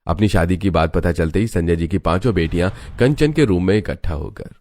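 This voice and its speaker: A man's voice: low voice, lively intonation, fairly quick, dark tone. A man reading scripted moral-story narration as a storyteller.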